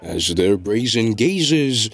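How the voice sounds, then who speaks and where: A man reading scripted story narration in a measured, deliberate storytelling delivery. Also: fairly low pitch, big pitch swings, no room sound. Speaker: narrator of a web novel fandub.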